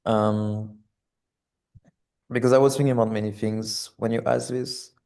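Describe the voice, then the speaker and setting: A man's voice: fairly low pitch, steady tone, unhurried, gravelly, a little mellow. A man in his twenties from French Guiana, an artist talking reflectively as an interview guest, hesitant and searching for words.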